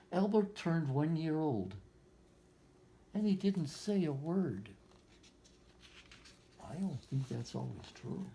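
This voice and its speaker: This is a soft-toned, mellow voice reading a picture book aloud to young children. The delivery is slow and gentle, pausing for simple explanations. Musing side remarks and questions to the listener are woven into the storytelling. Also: mid-range voice, slight background noise. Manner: musing asides and rhetorical questions.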